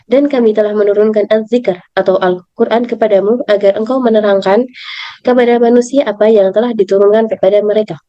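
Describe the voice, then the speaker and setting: A young woman with an Indonesian accent and a hoarse voice, reading a study presentation aloud carefully, as a student. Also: medium pitch, fairly even intonation, a little fast. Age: young adult. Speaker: university student. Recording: dull lo-fi, slightly echoing room.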